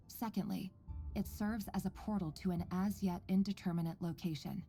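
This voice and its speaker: A woman playing an earnest, composed researcher in a video game, speaking in a measured, articulate and quietly caring way. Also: on the low side, close to an everyday voice. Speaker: voice actor in a game story quest. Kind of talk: scripted game dialogue and log narration.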